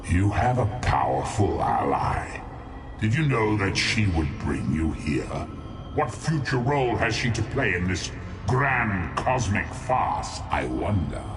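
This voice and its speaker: Game villain: contemptuous demon lord, very low and slow, pompous menace, music behind the voice. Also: male voice, very animated. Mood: scornful. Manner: mocking, pompous, menacing, theatrical. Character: gloating, scheming male demon lord, contemptuous of mortals. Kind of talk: scripted fantasy game villain dialogue.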